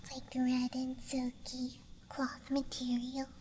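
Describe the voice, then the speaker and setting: A woman whispers in a slow, breathy ASMR style, rambling softly and a little hesitantly. Her voice is very high and mellow. A soft bed of night ambience sits faintly behind her. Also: monotone, slight background noise. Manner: soft, hesitant, musing, self-deprecating. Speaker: ASMR creator.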